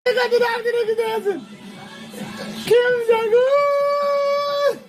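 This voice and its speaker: A man on a livestream clip chanting and singing the same phrases over and over in shouts. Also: very high-pitched, steady tone, slow pace, some chatter behind. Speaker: streamer in a played clip. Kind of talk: shouted, sung livestream antics. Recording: livestream clip with loud music. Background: loud music.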